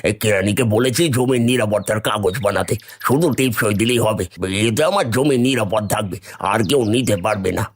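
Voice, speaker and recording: A man's voice: fairly low pitch, fairly quick, hardly any pauses, natural voice. A man playing a greedy, scheming village brother in a cartoon, with a sly, domineering manner. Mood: sly, wheedling. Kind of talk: cartoon dialogue acting.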